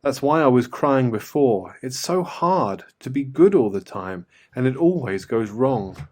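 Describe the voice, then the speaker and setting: A man's voice: mid-range voice, lively intonation, measured pace, a little mellow. A man voicing an upset little girl in a storybook, telling it earnestly.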